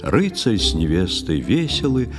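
A man solemnly reciting a poetic tale as narrator of an audio play, measured and expressive. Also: on the low side. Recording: long hall reverb, music behind.

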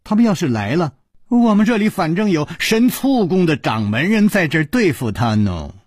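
A mischievous young girl from an audiobook tale voiced by a man. Playful and teasing, the delivery bounces in pitch, with a husky grain to it. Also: sing-song, drawn-out words, mellow, no room sound, clean studio sound, full character voice.

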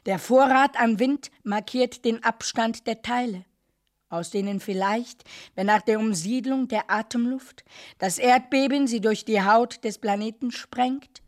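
An actress playing a narrator who recites dark, surreal literary radio drama in a measured, declamatory way, as if questioning what is described. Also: female voice, on the high side, close to an everyday voice.

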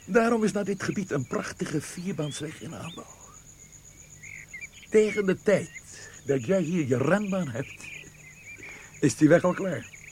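A man's voice: fairly high pitch, expressive, a little slow, long pauses, darkish tone, a little hiss or hum. An actor playing a genial, knowing, well-connected military man in a Dutch radio play.

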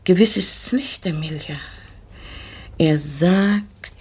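An actress playing a pious widowed lady in a German radio play, brooding and searching her memory with halting, formal diction and a breathy voice. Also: on the low side, darkish tone, exaggerated voice. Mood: searching, anguished.

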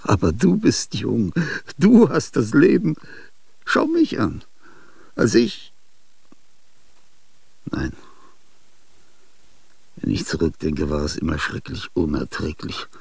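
An older man acting in a radio play, with a husky, gravelly voice and a halting, dreamlike monologue that slips into whispers. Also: on the low side, huge pitch swings, a little mellow, no echo, far from the mic. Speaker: radio drama actor.

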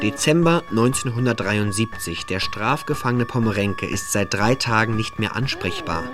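Radio play: detached male prison bureaucrat, played by an actor, fairly low voice, formal file reading. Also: lively intonation, normal speed, music in the background, close to an everyday voice.

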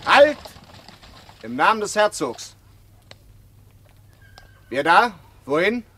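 Brisk commanding lieutenant. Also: male voice, high-pitched, very animated, sharp tone, breathy edge, exaggerated voice. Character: lieutenant of the gate guard, loyal friend. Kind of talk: radio play acting.

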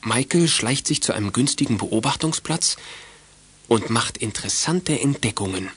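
A man narrating a 1980s children's detective radio drama in a composed, measured storytelling manner. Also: mid-range voice, animated, fairly bright tone. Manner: measured, clearly scripted storytelling.

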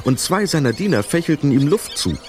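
A man's voice: on the high side, big pitch swings, brisk, faint music behind. A man narrating a children's story as a scripted storyteller in a radio play. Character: storyteller of a children's mouse fairy tale.

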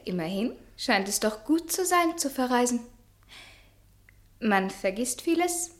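A woman's voice: on the high side, animated, unhurried. An actress playing a proud, wounded young lady in a German radio play, formal and reserved. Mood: cool, hurt. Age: young adult.

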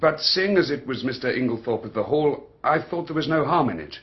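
An actor playing a nervous, hesitant, polite witness at an inquest in a radio drama. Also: male voice, medium pitch, animated, brisk, lightly acted. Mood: nervous, deferential. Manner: hesitant, deferential. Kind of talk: British radio drama acting. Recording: dull, band-limited radio drama recording.

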